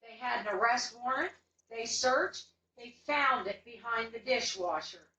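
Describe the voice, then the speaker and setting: Indignant woman, bright forceful higher voice. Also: measured pace. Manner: indignant, insistent, emphatic. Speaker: customer making a phone complaint. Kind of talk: recounting a complaint on a phone call. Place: indoors in a room, away from the mic. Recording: roomy, distant recorded phone call.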